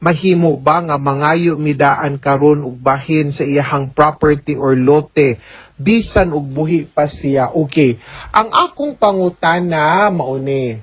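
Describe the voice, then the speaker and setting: A woman's voice: sits low, dry recording. A woman with a Cebuano accent hosting a radio advice show as an attorney, explaining things conversationally with frequent tag questions. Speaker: host, attorney giving advice. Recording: dull lo-fi audio.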